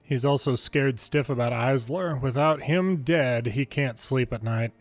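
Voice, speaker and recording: A man's voice: medium pitch, unhurried, dry recording. A man reading video game story dialogue aloud in the manner of an amateur longplay narrator. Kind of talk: reading game story text aloud, casual commentary asides. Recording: game music under the voice. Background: video game music.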